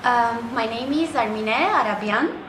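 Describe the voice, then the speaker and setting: A woman from Armenia who works for a music festival, introducing herself in a shy, halting way. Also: fairly high pitch, reverberant, noisy background. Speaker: festival worker and linguist.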